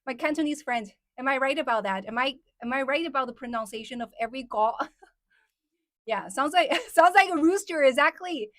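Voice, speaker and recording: A woman from mainland China, speaking English, hosts a casual live stream and chats with her viewers. Her voice sits a little high. The sound is dry and close, with almost no room echo. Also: expressive, a little fast, fairly bright tone. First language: Mandarin. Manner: chatty, laughs often, answers chat comments.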